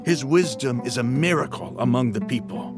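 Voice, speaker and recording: A man's voice: medium pitch, lively intonation, normal speed, lightly acted. A man playing a loyal, respectful desert mercenary in a video game, solemn and sincere. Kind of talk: video game dialogue acting. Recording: guitar game music behind voice.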